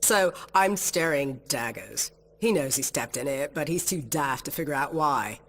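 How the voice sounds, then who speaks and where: A woman's voice: a bass voice, lively intonation, moderate pace, a bit bright, slight background noise, full character voice. A woman playing a feisty, sarcastic scientist in a game, telling an anecdote with wry indignation. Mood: wry, indignant. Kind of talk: casual anecdote with acted quotes.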